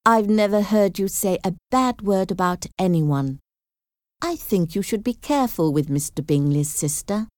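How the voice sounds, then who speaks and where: Woman acting a sensible, measured young lady. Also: lowish voice, lively intonation, darkish tone, no room sound, studio quality, lightly acted. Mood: cautioning. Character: sensible, cautious young woman, critical and protective sister.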